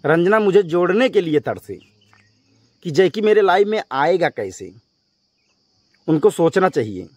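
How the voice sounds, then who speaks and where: A man's voice: high voice, big pitch swings, a little slow, long pauses, no room sound. A man speaking as a vlogger in a confrontational rant to his viewers, scolding and emphatic, often repeating himself. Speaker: YouTube vlogger addressing viewers.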